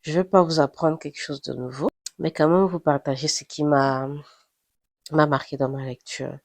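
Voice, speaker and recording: A woman's voice: low-pitched, moderate pace, dry recording. Woman, nasal from flu, casual Bible reflection, dry close sound. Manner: thinks aloud, with restarts and rising excitement. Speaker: Bible reader sharing reflections.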